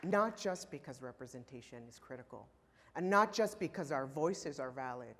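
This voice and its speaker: A man in his thirties, from Los Angeles, delivers a prepared keynote with passion and emphatic pauses. His voice carries a long reverb tail from the large conference hall he speaks in. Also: medium pitch, lively intonation, sharp tone, a little hiss or hum. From Los Angeles, California. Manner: impassioned, emphatic, with pointed pauses. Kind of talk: prepared speech to a conference audience.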